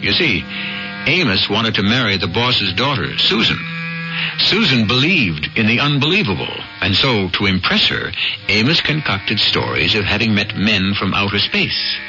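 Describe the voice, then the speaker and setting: A man's voice: lowish voice, very animated, moderate pace. A man hosting a radio drama series, narrating from a script as a wry, theatrical storyteller. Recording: dull old radio broadcast. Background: prominent music.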